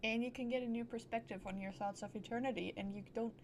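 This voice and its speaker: A woman's voice: on the high side, steady tone, fast, heavy background noise. A woman streaming a video game with casual, playful, exclamatory reactions and offhand swearing.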